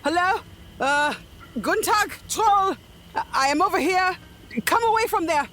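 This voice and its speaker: A man voicing a bold German woman adventurer in a tabletop game, yelling with a German accent. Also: very high voice, animated, unhurried, a little hiss or hum, voice pushed up, full character voice. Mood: defiant yelling. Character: loud German woman adventurer hollering boldly at a monster.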